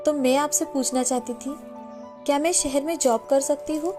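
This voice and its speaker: A woman's voice: high-pitched, fairly even intonation, moderate pace, music in the background, close to an everyday voice. A woman voicing an eager, respectful young daughter in a cartoon moral story, polite and earnest. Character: eager, respectful young daughter, bright student and office worker.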